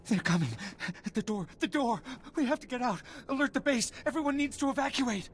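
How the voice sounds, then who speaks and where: An actor playing a traumatized, jumpy soldier survivor in a video game, with a frantic, stammering delivery. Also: male voice, very high voice, animated, fairly quick, slight background noise. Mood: panicked.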